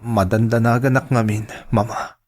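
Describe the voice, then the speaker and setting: A man's voice: on the low side, little pitch movement, moderate pace, lightly acted. A man acting an anguished, worried son and devoted lover in an Ilocano radio drama. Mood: worried, dejected.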